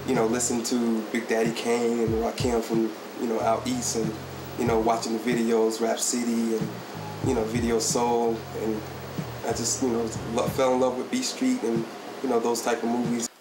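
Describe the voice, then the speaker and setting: A man from the United States speaking in a laid-back way as an interviewee, with lots of fillers. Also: medium pitch. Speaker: hip hop artist interviewee. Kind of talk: casual interview talk. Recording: echoey room with guitar music.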